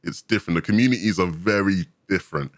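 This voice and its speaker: Young guy, twenties, deep low voice, brisk excitable storyteller, dry close mic. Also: no room sound. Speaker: podcast guest. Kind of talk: casual storytelling chat.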